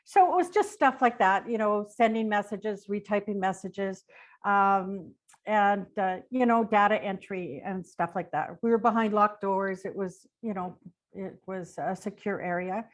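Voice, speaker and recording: Older Canadian female interview guest, middle-pitched voice, chatty and anecdotal storyteller. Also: unhurried. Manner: informal, rambling, anecdotal. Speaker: interview guest, retired police dispatcher. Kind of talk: conversational personal storytelling.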